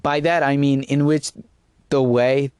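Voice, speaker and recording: A man's voice: medium pitch, little pitch movement, unhurried, a little mellow, clean tone, dry recording, studio quality. Casual Nepali man talking solo. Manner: rambling, many fillers and restarts. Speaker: podcast host. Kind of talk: casual solo podcast talk.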